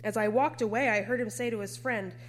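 A woman preacher delivering a scripted sermon in an earnest, measured way, often posing rhetorical questions. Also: on the high side, a little hiss or hum.

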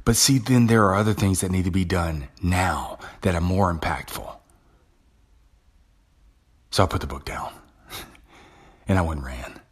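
A man's voice: sits low, measured pace, pauses often, slightly rough. A man hosting his own podcast, talking through a personal story in a casual, conversational monologue with frequent restarts. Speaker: podcast host. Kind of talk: motivational monologue.

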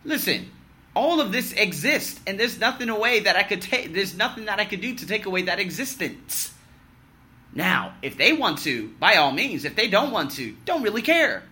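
A man in his thirties giving a blunt, emphatic year-in-review vlog monologue, swinging between loud boasts and low muttered asides, with the odd swear word. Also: sing-song, moderate pace. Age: 30s. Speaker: vlogger.

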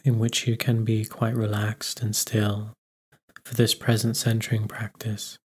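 A man guiding a meditation, giving gentle instructions with unhurried pauses. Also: lowish voice, monotone, slightly rough. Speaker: meditation guide. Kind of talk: guided meditation narration.

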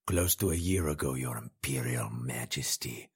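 Man doing a very deep, husky snake-like schemer, slow and gloating, storybook villain. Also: exaggerated voice. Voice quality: hissing whisper. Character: sly, menacing male snake-like potentate.

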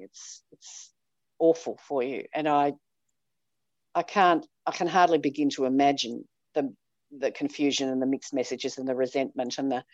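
A woman's voice: deep voice, darkish tone, dry recording, dull audio. A middle-aged Australian woman, a priest, talking in a chatty, emphatic way with frequent ums. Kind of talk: casual chat.

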